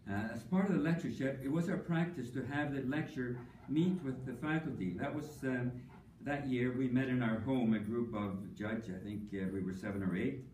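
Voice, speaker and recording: An older man giving a prepared tribute speech at an academic conference, measured and dry-humored, with frequent ums. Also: medium pitch, darkish tone, a little hiss or hum. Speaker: panelist speaking at an academic tribute session. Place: conference hall. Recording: echoey hall, voice from a distance.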